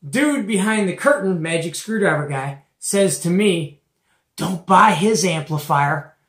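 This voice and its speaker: A man's voice: high voice, animated, a little slow, fairly bright tone. A middle-aged man with an American Midwestern accent tells a story casually and animatedly, tossing in slangy asides and questions to his listener. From Detroit area, United States. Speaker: host of a CB radio show-and-tell. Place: small cluttered indoor radio room. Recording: echoey room, mic at a distance.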